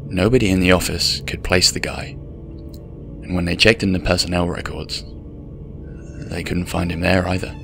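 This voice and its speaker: A man's voice: low-pitched, little pitch movement, moderate pace, creaky, pauses often, fairly bright tone, faint music behind. A man reading a scripted first-person horror story as its storyteller.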